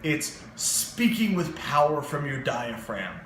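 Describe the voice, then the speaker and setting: Expressive young man in an echoey room. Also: slow pace, slight background noise. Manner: very expressive, swings in pitch and loudness, many fillers. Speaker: vlogger giving voice advice. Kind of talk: casual advice monologue to camera.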